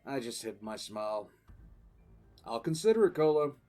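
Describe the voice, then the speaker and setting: A male actor voices a seasoned, cool-headed military commissar in an audio drama. He recounts events with weary deliberation and dramatic pauses, at a middling pitch. Soft music plays faintly behind him. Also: sing-song, lightly acted. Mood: weary, bemused. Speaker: narrator and lead voice actor. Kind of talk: dramatic first-person audio drama narration. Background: faint background music.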